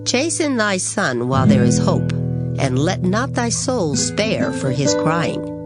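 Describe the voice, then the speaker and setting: A woman giving an earnest, measured, dramatized reading of scripture. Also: on the low side, sing-song. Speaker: scripture reader. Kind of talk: dramatized Bible audiobook reading. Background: plucked guitar music.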